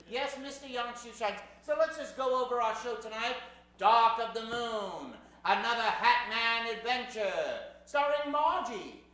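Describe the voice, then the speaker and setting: A man's voice: very high voice, pushed and bright. A man hosting a live improvised radio show on a theater stage, showy and mock-formal in an old-time radio manner, joking with the crowd. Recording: echoey theater stage recording.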